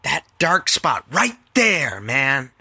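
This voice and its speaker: Man putting on a high-pitched, spaced-out, insistent mystic guy. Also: very animated, slow pace, vocal fry, sharp tone, breathy edge, dry recording, full character voice. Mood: excited, insistent. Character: eager, spaced-out male jail acquaintance, mystical and insistent.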